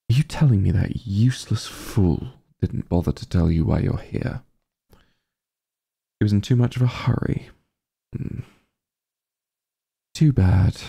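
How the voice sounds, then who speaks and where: A man playing an arrogant, menacing sorcerer king in an ASMR roleplay, pompous and condescending, with deliberate formal diction. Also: low voice, gravelly, warm tone, slightly rough, exaggerated voice. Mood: disdainful, cruel.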